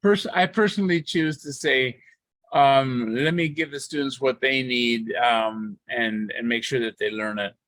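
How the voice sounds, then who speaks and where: A man renders another speaker's words into English after each pause. His voice is mid-pitched and recorded close and dry. He keeps an even, steady delivery and sometimes restarts a phrase. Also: no room sound. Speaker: interpreter. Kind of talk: consecutive interpretation into English.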